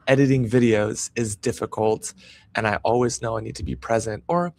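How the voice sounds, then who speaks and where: A man's voice: fairly low pitch, fairly even intonation, normal speed, darkish tone. A man hosting a live-streamed interview show, talking in an upbeat, eager and promotional way. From United States. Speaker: podcast host.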